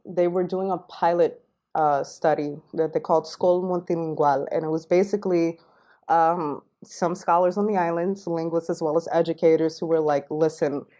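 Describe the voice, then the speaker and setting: A woman from Queens, New York, talking as a podcast guest and linguistic anthropologist, explaining at length in a fluent, relaxed way with many fillers. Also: deep voice, moderate pace. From Queens, New York, United States. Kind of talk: casual interview.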